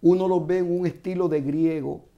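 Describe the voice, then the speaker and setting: Man, high pitch, mellow tone, measured explaining, panel guest. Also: steady tone, creaky, highs cut off. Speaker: guest author. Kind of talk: studio panel discussion.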